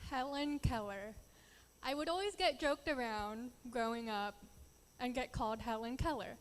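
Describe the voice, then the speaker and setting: A woman's voice: a little high, moderate pace, long reverb tail. A young woman from the Philippines, Tagalog her first language, giving an earnest prepared speech as a pageant contestant.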